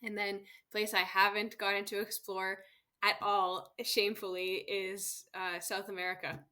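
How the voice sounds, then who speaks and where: Bright-voiced chatty woman. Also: medium pitch, little pitch movement, a little slow. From Canada. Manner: friendly, chatty, laughs often. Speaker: guest, solo travel expert. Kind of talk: casual interview chat.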